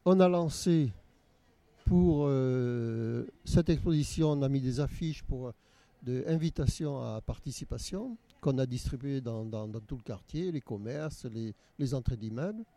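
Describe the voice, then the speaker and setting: A man's voice: mid-range voice, a little slow, vocal fry, dark tone. An older man from Nice, the organizer of a local art exhibition, answering interview questions hesitantly with frequent repeats. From Nice, France.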